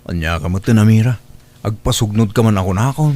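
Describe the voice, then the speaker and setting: A man's voice: fairly low pitch, quick pace, a little mellow, natural voice. A man playing a shy, inexperienced young boyfriend in an audio drama, hesitant and plaintive. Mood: puzzled.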